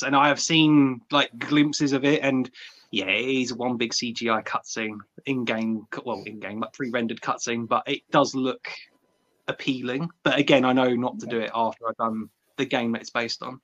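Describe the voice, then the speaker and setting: A male podcast panelist talks in an informal, slangy way. His voice has a mid-range pitch, and the recording is dull and lo-fi. The delivery is conversational. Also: fairly even intonation, moderate pace, a bit bright. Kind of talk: casual chat.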